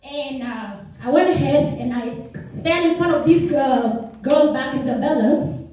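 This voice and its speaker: A woman's voice: sits high, measured pace, mellow, heavy reverb, distant mic, slight background noise, low-quality recording. A young woman from South Sudan telling her personal story to a meeting, earnest and chatty with many asides. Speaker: speaker. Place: meeting room.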